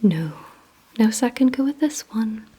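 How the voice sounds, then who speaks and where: A woman doing an ASMR roleplay, mostly in a breathy whisper, giving gently commanding instructions in a deliberate manner. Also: fairly high pitch, animated. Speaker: ASMR creator playing a vampire giving memory and attention tests.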